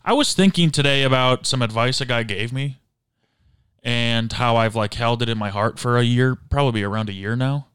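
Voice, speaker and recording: A man's voice: mid-range voice, moderate pace, a bit bright, smooth, no room sound, studio quality. A man co-hosting a podcast, joking and riffing in casual banter with a friend. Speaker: podcast co-host.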